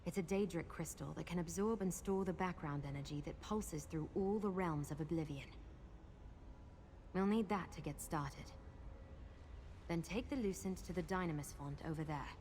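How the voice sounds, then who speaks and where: A confident, resourceful adventuring woman voiced by an actress guides the player through a fantasy game. Her voice sits on the low side, and she explains each step in a practical, matter-of-fact way. Game ambience runs as a noise behind her. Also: normal speed, darkish tone, close to an everyday voice. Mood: explaining, assured. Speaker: companion guide character in a fantasy video game. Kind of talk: scripted video game dialogue.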